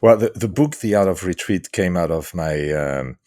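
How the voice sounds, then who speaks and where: A middle-aged man from France with a French accent, answering interview questions thoughtfully with many pauses and restarts. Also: sits low, lively intonation, a little mellow, no room sound, studio quality. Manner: hesitant, many fillers and restarts. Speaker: guest, author and leadership coach.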